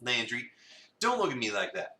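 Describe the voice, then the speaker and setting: A man's voice: medium pitch, wildly swooping pitch, normal speed, bright, forceful tone, exaggerated voice. A man reading a pushy, playful cafe coworker in a visual novel, teasing and needling. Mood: exasperated. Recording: roomy, a bit far from the mic.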